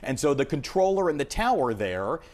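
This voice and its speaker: An American man delivers a live news report as an aviation correspondent. His pitch moves in lively swings as he explains things. His phrasing is punchy and emphatic. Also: moderate pace.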